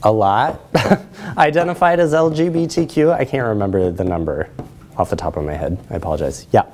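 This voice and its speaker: American guy, lowish voice, presenter explaining stuff in a q&a in a lecture room. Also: brisk, a little mellow, slight background noise. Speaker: presenter taking audience questions. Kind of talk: explanatory question-and-answer at a lecture.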